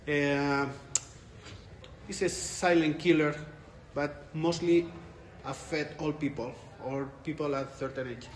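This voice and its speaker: Spanish-accented male researcher in his 40s, slightly raised pitch, echoey room. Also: fairly even intonation, takes it slowly, slight background noise. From Spain. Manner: thoughtful, restarts phrases, laughs lightly. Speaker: interviewed researcher. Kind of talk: conference interview. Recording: echoey room with light ambience.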